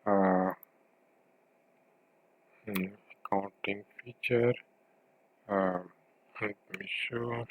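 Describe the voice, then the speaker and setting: A man narrating an informal software tutorial, thinking aloud with a hesitant delivery as he demonstrates. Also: on the low side, fairly even intonation, very slow, long pauses, narrow, tinny audio, a little hiss or hum.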